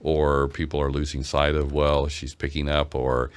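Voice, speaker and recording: Male interviewer, extremely low voice, conversational, feeling his way through each question. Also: completely flat delivery, moderate pace, clear, resonant tone. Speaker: interviewer, host.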